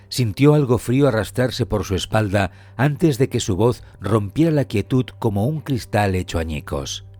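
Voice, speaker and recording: A man with a fairly low voice narrates a scripted true crime story. His delivery is measured and suspenseful, in the style of a podcast host, with faint music underneath. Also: darkish tone, dry recording.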